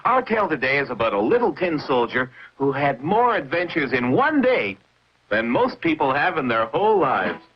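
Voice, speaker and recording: Lively male storybook narrator. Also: on the high side, normal speed, slight background noise. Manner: warm, conversational storyteller addressing children. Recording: dull lo-fi old soundtrack with music.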